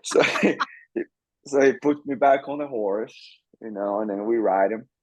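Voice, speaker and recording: A man from Brazil with a Brazilian Portuguese accent, a podcast guest telling his story in a relaxed, easygoing way. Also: fairly low pitch, unhurried, no echo, low-quality recording. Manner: relaxed, chuckles often, repeats words as he thinks. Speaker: farrier school director, guest. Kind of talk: casual interview storytelling.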